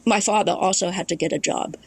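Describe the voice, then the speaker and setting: A woman's voice: medium pitch, quick pace, slightly rough. A woman from Thailand, a podcast guest and memoirist, recalling her family history in a reflective storytelling way, often restarting her sentences. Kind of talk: casual conversation.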